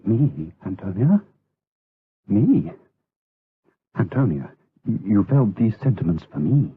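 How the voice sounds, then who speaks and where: An actor playing an outwardly pious, lustful abbot in a gothic radio drama, with an oily, hesitant, insinuating delivery. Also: male voice, lowish voice, big pitch swings, slow pace, breathy edge, no echo, phone-quality sound, full character voice. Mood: ardent, urgent. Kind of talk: gothic radio drama acting with third-person narration.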